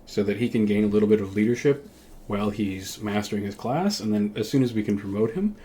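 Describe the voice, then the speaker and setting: A man's voice: on the low side, fast, warm tone. A man hosting a gaming video, giving casual strategy commentary and explaining his choices as he thinks aloud. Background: music behind the voice.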